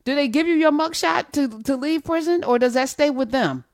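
A woman's voice: high voice, moderate pace, dry recording, studio quality. A woman hosting a call-in radio show, casually bantering and teasingly questioning callers. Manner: quizzical, teasing, pokes holes in callers' stories.

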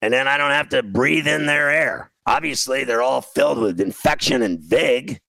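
A man in his fifties rambling through a casual comic rant as a talk show host, exasperated and self-deprecating, with a gravelly voice. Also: medium pitch, moderate pace, sharp tone, no room sound, rough recording.

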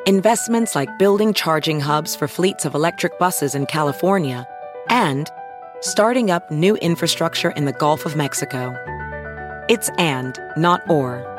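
A woman with a notably low voice delivers a smooth, measured ad read. Music plays clearly beneath her. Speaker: ad announcer. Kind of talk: scripted ad read.

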